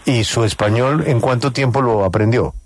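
A man's voice: medium pitch, normal speed. A man hosting a live radio interview, speaking emphatically and repeating key phrases.

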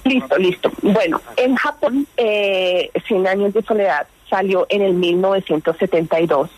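A woman's voice: medium pitch, normal speed, a little mellow, no echo, rough recording. A woman, a teacher, interpreting live in a polite and attentive manner.

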